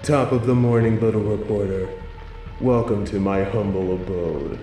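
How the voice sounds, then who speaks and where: A man playing a playful, sinister supervillain in an audio drama, teasing and gloating with theatrical, drawn-out emphasis. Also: lowish voice, mellow, roomy sound, soft background music, natural voice. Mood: mock-welcoming. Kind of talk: scripted audio roleplay villain monologue.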